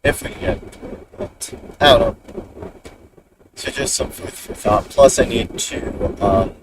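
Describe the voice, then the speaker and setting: A man in a car talks through his drive for a livestream, rambling and muttering about the route and traffic. His voice swings up and down a lot, and he sounds a little far from the mic. Steady road noise runs under him. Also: mid-range voice, slow. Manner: rambling, talks to himself while driving. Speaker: storm chaser streaming live. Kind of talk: casual driving commentary.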